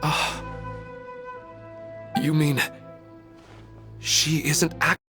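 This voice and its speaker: A man playing a worried, devoted father in a video game, halting and dazed in his delivery. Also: on the high side, flat delivery, sharp tone, full character voice. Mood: confused, shaken. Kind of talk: scripted video game acting. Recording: music clearly behind the voice.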